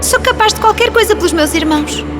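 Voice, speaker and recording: A woman's voice: very high-pitched, quick pace, over music. A woman voicing a timid but brave young princess in a cartoon fairy tale, with a European Portuguese accent. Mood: determined.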